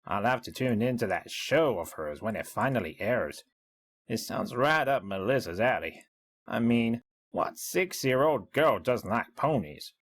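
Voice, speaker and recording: Audio story: male reader as wry small-town B&B owner, husky, mid-pitched, very animated and chatty. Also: a little slow. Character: chatty, mildly skeptical small-town bed-and-breakfast owner. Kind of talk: first-person diary narration in an audio story.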